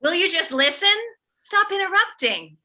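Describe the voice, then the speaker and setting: A woman's voice: very high-pitched, big pitch swings, normal speed, pushed and bright, full character voice. A woman playing a chiding, oblivious mother of a grown son in a comedy script read. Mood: scolding. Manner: chiding, emphatic.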